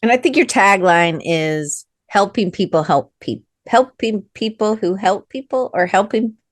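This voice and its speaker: A woman's voice: mid-range voice, lively intonation, a little slow, no echo, clean studio sound. Bubbly American woman hosting a podcast. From United States. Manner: bubbly, rambling, with restarts and laughs. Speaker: podcast host. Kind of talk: casual interview hosting.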